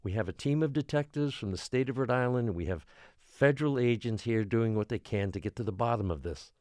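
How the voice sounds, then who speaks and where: An actor playing a beleaguered small-island mayor in an audio drama, speaking in a measured, formal, placating way. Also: male voice, medium pitch, darkish tone, dry recording, clean studio sound, close to an everyday voice. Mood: placating, strained. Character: beleaguered small-island mayor trying to calm frightened residents. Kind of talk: audio drama acting, public address.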